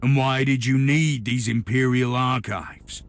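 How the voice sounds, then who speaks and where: An actor playing a stern, suspicious male inquisitor in a video game, speaking in a terse, authoritative, measured way. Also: medium pitch, fairly even intonation, dry recording, close to an everyday voice. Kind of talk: dramatic video game cutscene acting. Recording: music behind the voice.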